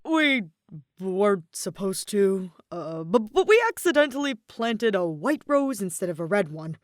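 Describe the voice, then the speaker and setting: A woman's voice: mid-range voice, sing-song, unhurried, no echo, studio quality. A woman voicing a nervous, sheepish male playing-card soldier in a storybook tale, with a flustered, stammering delivery. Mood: sheepish, eager.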